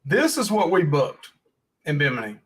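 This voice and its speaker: A man's voice: fairly high pitch, big pitch swings, normal speed, a bit bright. A man hosting a casual livestream podcast, folksy and joking as he rambles through his notes. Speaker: podcast host.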